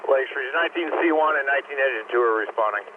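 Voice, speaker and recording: A man's voice: medium pitch, rapid-fire, distant mic. Man on a very noisy, tinny radio. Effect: two-way radio. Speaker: fire officer radioing dispatch. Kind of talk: brief radio transmissions.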